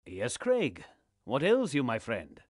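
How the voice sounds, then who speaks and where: A solemn lord of gods for a fantasy game. His delivery is formal and commanding, with measured old-fashioned phrasing and a widely swinging melody. The sound is very dry. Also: medium pitch, huge pitch swings, breathy edge, dry recording, exaggerated voice. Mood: stately. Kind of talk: fantasy game cutscene acting.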